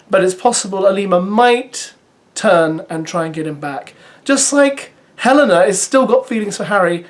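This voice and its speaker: Brief: parent vlogger giving reality TV recaps, chatty and wry, middle pitch. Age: adult parent. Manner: chatty, sarcastic asides, lively. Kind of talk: casual spoken commentary to viewers.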